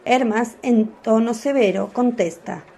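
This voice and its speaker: A woman narrating a radio drama in a measured, clear storytelling voice. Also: fairly high pitch, warm tone.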